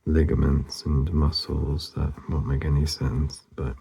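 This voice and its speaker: Very deep, gravelly man murmuring casually. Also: level tone, a little slow, mellow. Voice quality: very gravelly, husky. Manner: laid-back, murmuring, trailing off. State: losing his voice, hoarse. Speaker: artist hosting a drawing channel. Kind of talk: casual narration while drawing.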